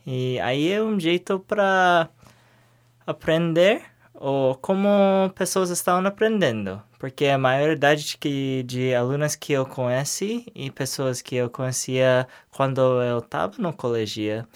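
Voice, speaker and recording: Young man, halting speech. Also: mid-range voice, clean tone. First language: English. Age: young adult. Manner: hesitant, searching for words in a second language. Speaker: interview guest. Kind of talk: casual interview answers.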